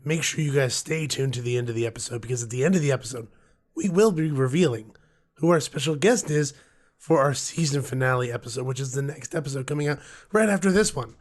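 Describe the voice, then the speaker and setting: A man co-hosting a podcast with a hoarse, gruff voice, chatting casually and joking around. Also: medium pitch, fast talker. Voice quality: gruff, rough. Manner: joking, rambling, laughs often. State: hoarse, losing his voice. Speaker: podcast co-host. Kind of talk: casual chat.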